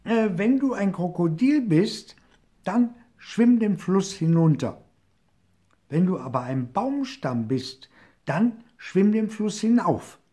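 A man voicing a cheeky, playful little deer who teases and tricks, calling out in a high, gleeful voice in a storybook reading. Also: flat delivery, very slow, warm tone. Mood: curious calling. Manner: high, gleeful, teasing calls.

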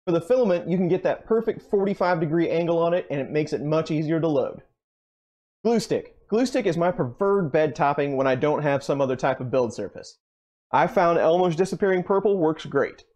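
A young man hosting a channel, briskly running through a list of tools in a scripted, upbeat way with dry jokes, recorded in a basement workshop. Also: fairly high pitch, darkish tone. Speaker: channel host. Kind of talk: scripted tool rundown.

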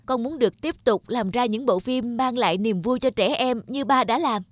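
An actor playing an earnest young animator son who longs for approval, speaking emotionally in an animated drama. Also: male voice, extremely high pitch, moderate pace, no room sound. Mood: determined. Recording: background music under the voice.

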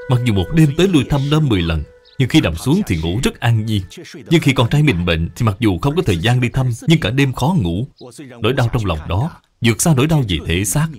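A man's voice: mid-range voice, very animated, brisk, darkish tone, soft background music, lightly acted. A man voicing an upright, earnest younger brother, an official in a cartoon who formally blames himself. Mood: solemn, self-reproaching. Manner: earnest, formal, self-reproaching.

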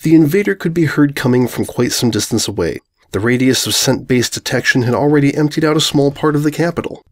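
A male narrator with a mid-pitched voice reads a comic fan story from a script. His delivery is dry and wry throughout. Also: fast talker.

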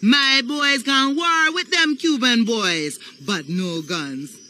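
Sly, bright-voiced Caribbean auntie. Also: female voice, fairly high pitch, takes it slowly, a little hiss or hum. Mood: commanding, sly. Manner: coaxing, ominous, dialect phrasing. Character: sly older Haitian auntie, voodoo matriarch. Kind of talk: video game cutscene acting.

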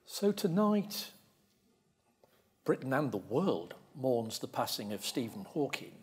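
A middle-aged man hosting an on-stage interview, with deliberate, emphatic phrasing. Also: mid-range voice, big pitch swings, husky. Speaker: interviewer, host. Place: large lecture theatre stage.

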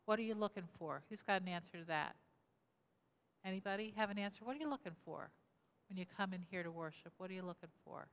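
Woman pastor in her sixties, smooth voice, interactive sermon at a lectern in a large echoing sanctuary. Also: mid-range voice, quick pace. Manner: asks the congregation questions and repeats their answers. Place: church sanctuary, indoors, at a lectern. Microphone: lectern mic, camera at mid distance. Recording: reverberant church hall.

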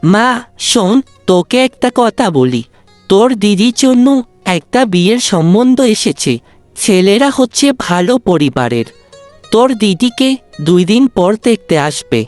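A woman voicing a kind, worried father in a cartoon story, gentle and consoling. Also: mid-range voice, big pitch swings, measured pace, no echo. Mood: confiding, wistful. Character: kind, worried, ageing father of two daughters. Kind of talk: Bengali cartoon story dubbing. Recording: dry, music bed under the voice.

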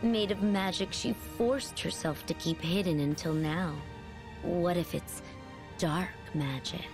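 A woman's voice: on the low side, slow pace, music in the background. A woman voicing a smug, taunting young villainess in a video game, with a sarcastic, condescending drawl. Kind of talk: video game cutscene acting.

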